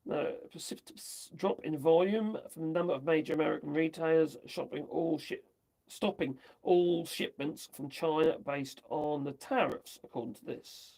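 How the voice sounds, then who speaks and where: Guy rambling thru the news on a stream, bit high, gravelly, losing his voice. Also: normal speed, darkish tone, rough recording. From United Kingdom. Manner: rambling, chatty, asks rhetorical tag questions. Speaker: livestream host. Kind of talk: casual commentary on news.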